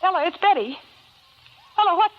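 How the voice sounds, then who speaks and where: A woman playing an anxious young heroine in a vintage radio horror play, speaking in breathless, melodramatic, halting repetitions. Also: very high voice, lively intonation. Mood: frantic. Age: young adult. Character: frantic, pleading young woman seeking her sister. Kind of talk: 1940s radio horror acting. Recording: muffled, hissy vintage radio recording.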